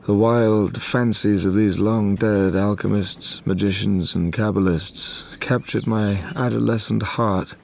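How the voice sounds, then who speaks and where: An actor playing a dying, tormented man who gravely narrates his past in a formal audio drama. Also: fairly low pitch, fairly even intonation, a little slow, hardly any pauses, darkish tone. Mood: wistful, brooding.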